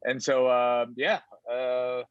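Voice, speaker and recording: A man from the Philippines whose first language is Tagalog, speaking with an American accent as a guest in a casual interview, rambling with many fillers and sudden high jumps. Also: level tone, takes it slowly, smooth, muffled recording.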